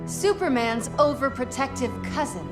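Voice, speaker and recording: A woman playing a defiant, protective young alien superheroine in a video game, forceful and earnest. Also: high-pitched, expressive, moderate pace. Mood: firm. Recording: echoing, loud music behind.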